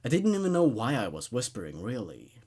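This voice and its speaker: A man hosting a horror story reading, narrating in an expressive, conversational, laid-back way. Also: mid-range voice, a little fast. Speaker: host reading horror stories aloud.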